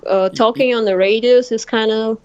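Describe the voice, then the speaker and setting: A woman's voice: fairly high pitch, fairly even intonation, moderate pace, a little mellow, smooth, dull audio. A young woman from Russia with a Russian accent, a podcast guest in casual chat who speaks bluntly and laughs often. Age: 20s.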